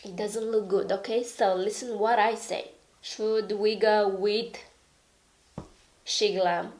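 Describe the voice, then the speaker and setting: A woman's voice: medium pitch, steady tone, takes it slowly, a little mellow, a little hiss or hum. Chatty stuffy-voiced woman reviewing makeup. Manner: chatty, rambling, self-deprecating, with frequent "okay?" asides. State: stuffy and raspy from a cold. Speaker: beauty vlogger. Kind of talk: casual product review.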